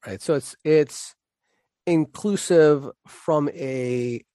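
A man's voice: fairly high pitch, drawn-out words, dark tone, dry recording, clean studio sound. A man co-hosting a casual conversation about teaching, easygoing and agreeable, filling his talk with "right" and "you know". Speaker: podcast co-host.

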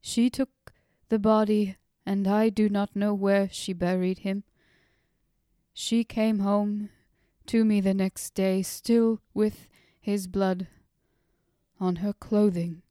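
A woman voicing a grieving middle-aged father who speaks formally and haltingly, in an old-fashioned storybook manner. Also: medium pitch, little pitch movement, dark tone, smooth, dry recording, clean studio sound, lightly acted.

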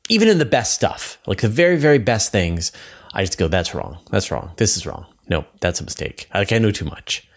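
A man's voice: lowish voice, big pitch swings, a little fast. A man hosting a podcast in a casual, conversational style, with emphatic repetition and asides. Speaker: podcast host.

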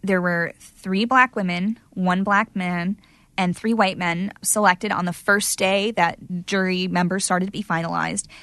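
A woman with a slightly low voice talks through events in a loose, chatty way, leaning on "you know" and "like". The sound is dry and close, with no room around her. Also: steady tone, unhurried, fairly bright tone, dry recording. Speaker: court reporter guest. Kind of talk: conversational interview answers.

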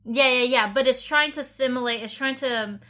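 A woman's voice: sits high, brisk, sharp tone. A woman from Vietnam co-hosting a podcast, chatting casually and conversationally. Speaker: podcast co-host.

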